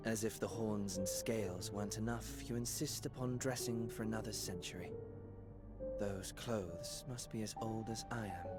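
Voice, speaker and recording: A stern, exasperated male companion in a game cutscene. He speaks slowly with dry, weary curtness. Loud music runs behind him. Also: lowish voice. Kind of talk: scripted video game cutscene acting.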